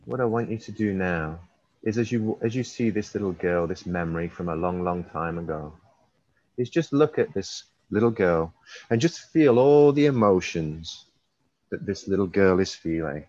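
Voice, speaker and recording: A man leading a guided hypnosis session as a hypnotherapist, using repetitive, drawn-out and emphatic suggestive phrasing. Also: sits low, expressive, a little mellow. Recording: roomy, slightly dull recording.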